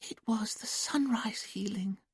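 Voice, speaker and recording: A woman's voice: mid-range voice, husky, dry recording, voice pushed up. A whispery, childlike entranced woman. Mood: dreamy trance. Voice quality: quiet sing-song, whispery. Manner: slow, drifting answers. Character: hypnotized young woman, childlike and dreamy.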